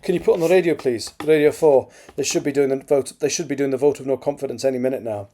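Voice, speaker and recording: A man voicing a calm, practical, protective male driver in a story. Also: mid-range voice, fast talker, pitched above the performer's own voice, lightly acted. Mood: steady, protective.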